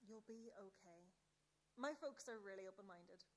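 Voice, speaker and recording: A woman's voice: medium pitch, normal speed, natural voice. A woman playing a friendly, eager teenage girl in a radio play, speaking in an earnest, upbeat way. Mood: warm, inviting.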